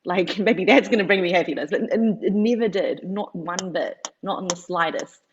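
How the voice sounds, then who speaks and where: A New woman in her thirties with a low voice chats through her story, leaning on "like" and "you know". The audio is dull and lo-fi, like a video call. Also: moderate pace, slightly rough. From New Zealand. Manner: chatty, filler-heavy, lots of "like". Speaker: guest. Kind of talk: casual interview storytelling.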